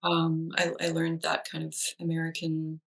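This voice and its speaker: A woman's voice: sits low, completely flat delivery, normal speed, gravelly. A woman whose first language is Danish, a psychologist in casual conversation, chatty with many fillers and restarts. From Denmark. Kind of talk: casual chat.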